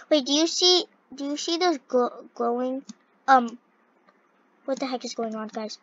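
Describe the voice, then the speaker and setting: A young girl with a high, bright and slightly scratchy voice hosts a gaming video. She reads on-screen dialogue haltingly and reacts excitably, at a slow pace. The recording is close but dull. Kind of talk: gameplay commentary, reading on-screen dialogue aloud.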